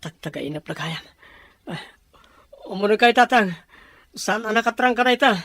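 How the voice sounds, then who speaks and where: An actor playing an anxious, devoted young fiancé, emotional and fretful, in an Ilocano radio drama. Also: male voice, sits high, expressive, moderate pace, fairly bright tone. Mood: worried.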